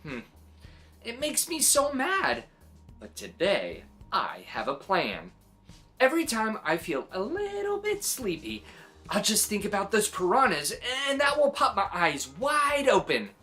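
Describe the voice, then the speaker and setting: A woman reading a story aloud to young children in an animated, expressive way, adding little exclamations. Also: medium pitch, unhurried, soft background music. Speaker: children's story reader and host.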